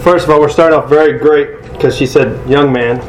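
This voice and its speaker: Loud-voiced man presenting in an echoey hall. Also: a little high, a little fast. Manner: loud, energetic, self-deprecating. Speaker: conference speaker. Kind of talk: presentation talk. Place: large conference room. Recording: echoey room from far off, noisy.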